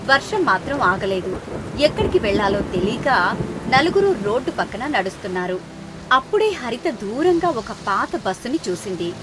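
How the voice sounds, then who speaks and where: A woman's voice: a little high, big pitch swings, normal speed, noisy background. A woman reading a scripted moral story as its narrator.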